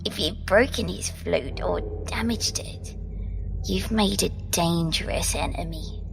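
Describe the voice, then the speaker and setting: A grave, knowing captive woman speaks with a gravelly creak. Her delivery is slow, measured and formal, an audio drama performance set over clear music. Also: on the low side. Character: composed, grave woman captive with otherworldly knowledge. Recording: music under the voice.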